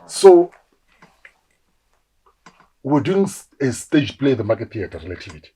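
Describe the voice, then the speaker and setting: A South African man in his forties, an actor telling animated stories in a casual interview and acting out the voices of people he quotes. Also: medium pitch, a little slow, a little hiss or hum, low-quality recording. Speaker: actor guest.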